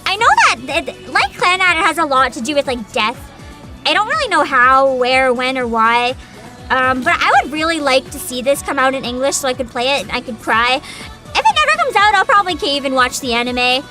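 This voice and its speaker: A woman's voice: high voice, expressive, a little fast, music in the background. A woman hosting a livestream, chatting informally to her viewers and giving her opinions, often humming between thoughts. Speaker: livestream host.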